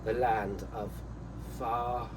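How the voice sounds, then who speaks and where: A man's voice: medium pitch, steady tone, drawn-out words. A man co-hosting a casual chat show, rambling and swearing a lot while drinking a beer. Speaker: co-host. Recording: distant mic with room noise.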